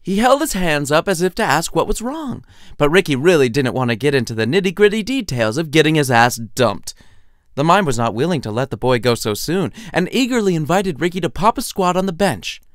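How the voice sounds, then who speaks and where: A man's voice: fairly high pitch, expressive, a little fast, dry recording, clean studio sound. A man narrating a scripted story in a playful, wry, theatrical storytelling manner. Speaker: narrator and author.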